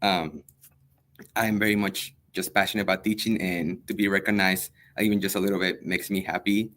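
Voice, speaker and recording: A young man from El Salvador, a native Spanish speaker, answering interview questions as a high school history teacher, earnest and hesitant with many pauses and fillers. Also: fairly even intonation, a bit bright. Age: young adult.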